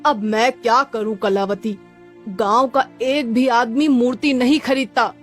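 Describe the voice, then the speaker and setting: A woman plays a poor, devout village sculptor from a cartoon story. The voice is very high for such a husband, earnest and plaintive, over faint music. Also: fairly even intonation, unhurried. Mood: hurt, firm.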